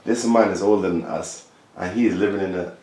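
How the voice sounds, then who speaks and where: A man's voice: sits low, fairly even intonation, fairly quick, dark tone. A man in his late thirties talking in an insistent, lecturing way in a casual group discussion, pressing his point on the others. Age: 30s. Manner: insistent, lecturing, keeps saying listen to me and trust me. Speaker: discussion participant. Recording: echoey room.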